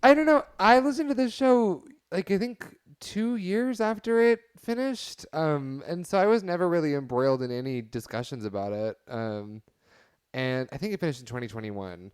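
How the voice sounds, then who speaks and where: A man's voice: on the high side, lively intonation, moderate pace, clear, resonant tone, dry recording, studio quality. A young man in a casual podcast debate, speaking in a wry, wordy, mock-formal way. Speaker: podcast panelist.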